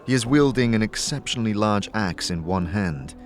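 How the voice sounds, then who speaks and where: A man's voice: fairly low pitch, expressive, moderate pace. A man narrating a fantasy story to the listener as game master, in a scripted, dramatic style. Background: background music.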